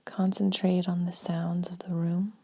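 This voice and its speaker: A woman leading a guided meditation in a gentle, spaced-out way, her soft breathy voice often trailing toward a whisper. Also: on the low side, little pitch movement. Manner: slow, gentle, spaced-out phrasing with long pauses.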